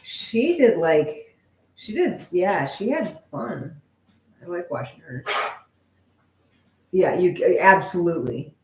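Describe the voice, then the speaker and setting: A woman's voice: lowish voice, animated, takes it slowly, pauses often, a little mellow, slight background noise. A woman chatting casually and informally with viewers as co-host of a live stream. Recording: echoey room.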